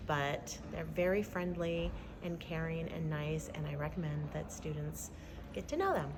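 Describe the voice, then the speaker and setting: Low-voiced woman teacher, chatty. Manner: warm, rambling with fillers. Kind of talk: casual interview. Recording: noisy background.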